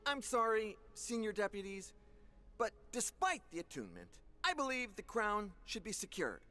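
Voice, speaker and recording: An actress playing a haughty, ruthless council official in a video game, imperious and cutting. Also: female voice, medium pitch, sing-song, normal speed, sharp tone. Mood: insistent. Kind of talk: video game cutscene acting.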